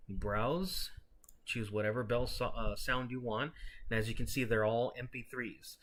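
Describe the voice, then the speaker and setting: A man casually walking the listener through software setup steps in an explanatory tutorial style. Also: mid-range voice. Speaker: software instructor. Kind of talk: step-by-step software tutorial narration. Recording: clearly noisy background with clicking.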